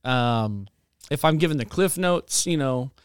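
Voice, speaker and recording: Playful pastor guest on a podcast. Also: male voice, medium pitch, expressive, measured pace, smooth, no echo, studio quality. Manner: playful, jokey, laughs often. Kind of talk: casual chat.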